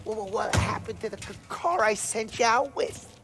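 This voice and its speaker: A man's voice: high-pitched, moderate pace, very noisy, pitched above the performer's own voice, natural voice. An actor playing a flustered gangland car keeper who stutters on his words, in a video game. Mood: flustered, reproachful. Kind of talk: cinematic video game voice acting. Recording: loud music behind the voice.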